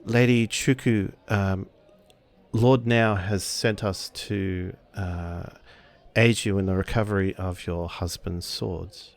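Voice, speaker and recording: A courteous samurai retainer, played by a man at a game table, with a fairly low voice. He speaks formally and deferentially on behalf of his mistress. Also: steady tone, slow pace, creaky, full character voice.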